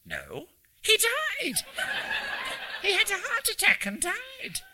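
A man's voice: extremely high pitch, huge pitch swings, slow, background noise, voice pushed up, full character voice. A man voicing a little old English lady from the North Country, chatty and cheerful, as he reads a story aloud. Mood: chatty, matter-of-fact. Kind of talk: acted dialogue in a story read aloud.

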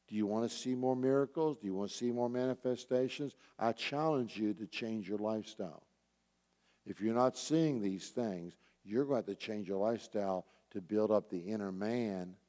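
A man with a lowish, mellow voice delivers an earnest sermon. He urges his listeners with pointed questions and repeated phrases. The sound carries the long reverb of a hall. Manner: earnest, urging, repeats key phrases for emphasis. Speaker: pastor teaching a church group. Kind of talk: sermon, exhortation with rhetorical questions.

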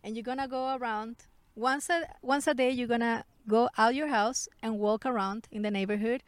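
A woman's voice: a little high, fairly even intonation, moderate pace, no echo. A woman with a Chilean Spanish accent, explaining casually and conversationally with little acted-out examples. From Santiago, Chile. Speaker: interview guest, healing practitioner.

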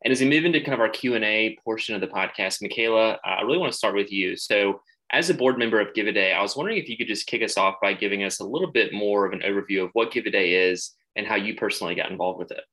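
A young man hosts a student podcast. He talks quickly in a low, bright voice and stays upbeat as he steers the conversation with questions. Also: poor audio. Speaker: podcast host. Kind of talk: hosting a podcast interview.

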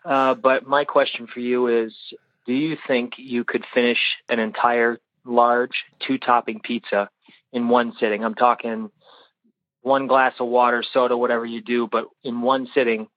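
A man calling in to a talk show, cracking a joke in a relaxed, casual way. His voice comes over a dull, muffled phone line. The sound is dry, with no room around it. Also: mid-range voice, normal speed, no room sound. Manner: jokey, casual. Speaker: caller. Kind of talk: recorded voicemail question.

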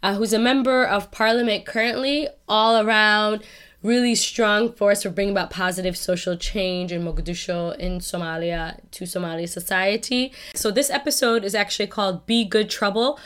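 A woman's voice: mid-range voice, fairly even intonation, normal speed, a bit bright, clear, resonant tone. A woman hosting a podcast, introducing her guest in an earnest, casual way with many hedging "kind of"s. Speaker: podcast host.

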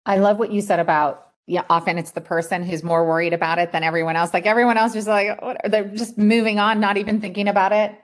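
A woman's voice: mid-range voice, steady tone, fast, few pauses. A woman interviewing someone in a conversational workplace style.

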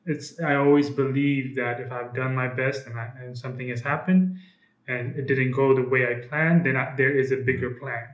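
A man's voice: mid-range voice, steady tone, moderate pace. Hesitant young man from Ethiopia, roomy sound. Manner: hesitant, many fillers and restarts. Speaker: guest, comic book publisher. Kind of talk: casual interview. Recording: roomy echo.

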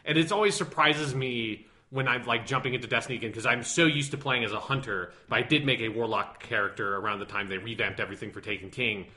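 Male podcast co-host, bright tense tone, animated and teasing in banter. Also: mid-range voice, fast, few pauses. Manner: animated, playfully sarcastic. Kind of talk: casual podcast chat.

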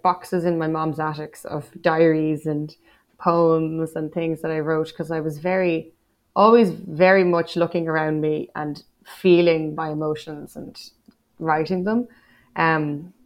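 A woman with a Dublin accent speaks in a fairly low voice. She is in her thirties, a poet giving a casual interview, and she talks about herself reflectively and with some self-deprecation, often stopping to search for words. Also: steady tone, a little slow. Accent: Dublin Irish. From Ireland. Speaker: guest poet.

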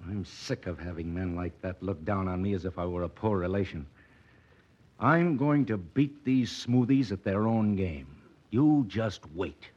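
An actor playing an earnest, proud, stern doctor in a serious old radio drama manner. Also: male voice, low-pitched, unhurried. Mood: bitter, determined. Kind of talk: 1940s radio drama acting. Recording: dull, muffled old radio recording.

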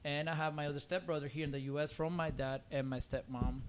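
A man in his forties from Costa Rica with a Cuban accent in English, a native Spanish speaker, chatting casually and haltingly as a podcast guest. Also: on the high side, fairly even intonation, slight background noise. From Costa Rica, born in Cuba. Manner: hesitant, rambling, many "you know"s. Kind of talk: casual conversational interview.

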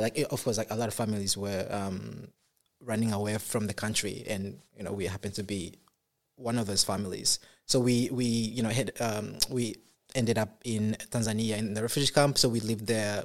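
A young man from Congo, a podcast guest, talking in a casual interview, soft-spoken and halting, with many fillers and restarts. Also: medium pitch.

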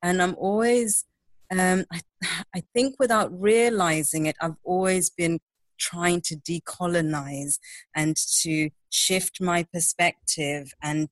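A woman from Zimbabwe, a social entrepreneur guesting on a podcast, speaking candidly and haltingly with fillers. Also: on the low side, no echo. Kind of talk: conversational interview.

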